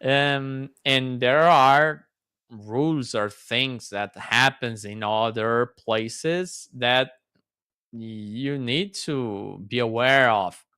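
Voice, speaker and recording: A man's voice: medium pitch, extremely slow, pushed and bright, clean tone, dry recording, studio quality. A man hosting a casual interview chat, talking in an enthusiastic, question-asking way. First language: Portuguese. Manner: chatty, enthusiastic, asks questions. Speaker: host.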